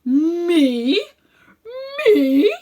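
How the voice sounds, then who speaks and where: A woman's voice: high-pitched, expressive, extremely slow, dark tone, pitched above the performer's own voice, heavily acted. A woman plays an elderly magician uncle from a children's storybook. He is sinister and full of himself, talking down to his listener with pompous, heavily stressed phrases. He takes offence easily. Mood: offended, outraged. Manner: pompous, condescending, emphatic.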